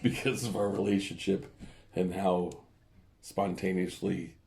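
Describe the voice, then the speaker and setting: A man's voice: low-pitched, flat delivery, unhurried, a little mellow, slight background noise. A middle-aged man, a father chatting casually and teasing his daughter. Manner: jokey, dry teasing. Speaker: father and podcast guest. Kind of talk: casual chat.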